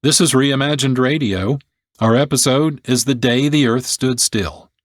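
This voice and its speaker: A man's voice: medium pitch, no echo. Solemn male documentary host, dry sound. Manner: deliberate, solemn, pausing between phrases. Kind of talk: scripted narration.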